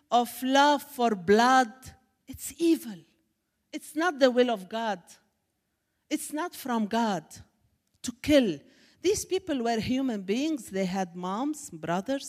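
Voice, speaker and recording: A woman from Syria with a Syrian Arabic accent, a native Arabic speaker, giving a testimony to a church congregation in an emphatic preacherly manner. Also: a little high. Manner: warm preacherly, rising to emphatic exclamations. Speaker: guest speaker. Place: church sanctuary. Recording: echoey church hall.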